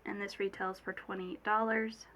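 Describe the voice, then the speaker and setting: Bright-voiced sick young woman vlogger. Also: mid-range voice, little pitch movement, moderate pace, a little hiss or hum. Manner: chatty, rambling, many fillers and self-corrections. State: sick with a cold, voice a little off. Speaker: beauty vlogger. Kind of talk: casual product unboxing chat.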